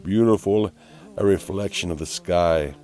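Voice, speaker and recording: A man's voice: deep voice, warm tone. A man playing a pompous, easily exasperated narrator who mocks a painter in a theatrical, mock-dramatic DIY voice-over. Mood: solemn, melodramatic. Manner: theatrical, pompous, drawn-out repetition.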